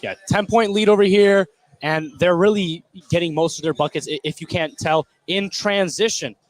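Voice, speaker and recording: A man with a somewhat high voice works as color commentator on a live basketball broadcast. His delivery is casual and informal, made of interjected reactions and bits of analysis. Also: moderate pace, dry recording. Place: indoor basketball game, live.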